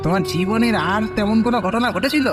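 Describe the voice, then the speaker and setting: A man's voice: high voice, quick pace, over music, lightly acted. A man voicing a keen young male friend in a horror cartoon, in a reverberant recording. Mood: eager. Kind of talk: Bengali horror cartoon voice acting.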